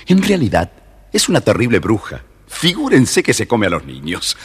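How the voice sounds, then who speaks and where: Low husky expressive male storyteller. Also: long reverb tail. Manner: expressive, confiding, theatrical. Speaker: storyteller narrator. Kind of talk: dramatic scripted children's narration.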